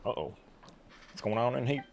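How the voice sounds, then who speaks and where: A man's voice: medium pitch, animated, moderate pace, a little mellow, a little hiss or hum. A man giving casual gameplay commentary in terse, offhand quips. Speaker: game streamer. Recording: game music behind the voice. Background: game music and dialogue.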